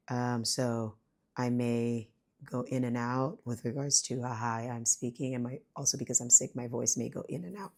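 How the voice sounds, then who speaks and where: A woman with a hoarse voice from illness, speaking softly and thinking aloud in a rambling, reflective home monologue. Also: extremely low pitch. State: hoarse, losing her voice from illness. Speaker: vlogger. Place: at home, speaking quietly while her son sleeps.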